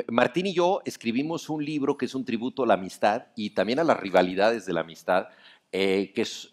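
Fast-talking Mexican man, low voice. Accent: Mexican Spanish. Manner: fast, long flowing anecdotes. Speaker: festival panelist, writer. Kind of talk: onstage conversation. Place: dark theater stage, seated in armchairs.

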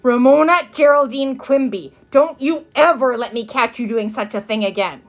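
An exasperated storybook mother, played by a woman narrator. She is firm and long-suffering, pitched a little high, and speaks to her child with worn patience. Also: expressive, brisk, close to an everyday voice. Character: exasperated, weary mother of a willful small girl.

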